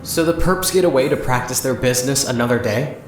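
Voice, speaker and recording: A man's voice: mid-range voice, brisk, noisy background, natural voice. An actor playing an earnest young man who works at a diner, questioning, in an audio drama. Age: young adult. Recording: echoey, faint music underneath. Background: faint music.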